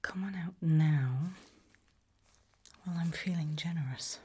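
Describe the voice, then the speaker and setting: A woman softly whispering a medical exam roleplay in ASMR style, giving gentle, deliberate instructions with pauses. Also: sits low. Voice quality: soft breathy whisper. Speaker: ASMR performer playing an examiner.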